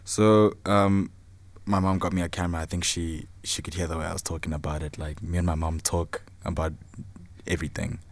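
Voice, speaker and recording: A man's voice: deep voice, level tone, brisk. A South African man in his twenties, talking casually about himself as an interview guest. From Zimbabwe and South Africa. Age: 20s. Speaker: podcast guest, film student and photographer.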